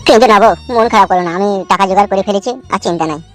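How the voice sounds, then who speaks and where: Man playing a meek, fast-talking village husband. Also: sits high, faint music behind, lightly acted. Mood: placating, resigned. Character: put-upon, meek village husband, rueful and placating. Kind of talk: comic cartoon dialogue.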